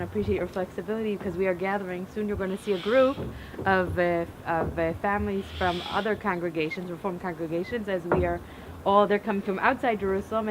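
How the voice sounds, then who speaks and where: A woman's voice: mid-range voice, noisy background. A woman from Cleveland, Ohio, with an American accent, giving an earnest guided talk outdoors as a congregation's rabbi. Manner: earnest, explanatory, with fillers and pauses. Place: outdoors at an archaeological site.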